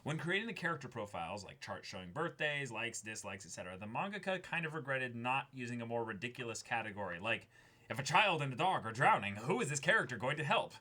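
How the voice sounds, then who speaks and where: A young man with a bright, forward voice at an ordinary male pitch. He moves between reading aloud and informal commentary, leaning hard on his points and swearing freely. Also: very animated, quick pace. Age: young adult. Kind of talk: casual discussion mixed with reading aloud. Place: small indoor studio with backdrop.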